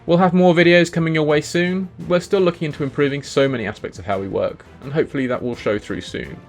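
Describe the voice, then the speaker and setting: A man's voice: on the high side, fairly even intonation, fast, soft background music. A man hosting a video, reading scripted commentary on video game lore. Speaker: video host.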